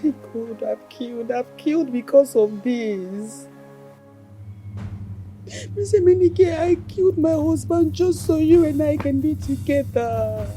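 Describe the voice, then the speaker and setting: A woman's voice: sits high, animated, measured pace, mellow, faint music behind. A woman playing an obsessive, desperate young widow in a film drama, pleading and insistent. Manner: intense, pleading then insistent.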